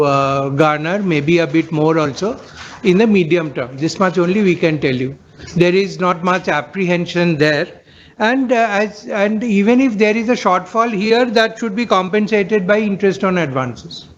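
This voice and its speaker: A high-pitched Indian-accented man explains figures as a finance chief. He speaks haltingly and doubles back on words. The call sounds dull, with light noise behind him. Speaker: chief financial officer answering analysts. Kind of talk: earnings call question and answer.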